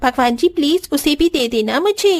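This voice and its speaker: A hopeful little girl from a cartoon tale. Her voice is extremely high and childlike. She speaks eagerly and innocently. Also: expressive, normal speed, darkish tone. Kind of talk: Hindi cartoon story voice acting.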